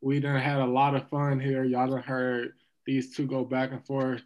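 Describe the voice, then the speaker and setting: A man hosting a podcast, wrapping up the episode in a relaxed, folksy way. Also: mid-range voice, moderate pace, muffled recording, a crowd in the background. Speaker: podcast host. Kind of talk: casual show sign-off.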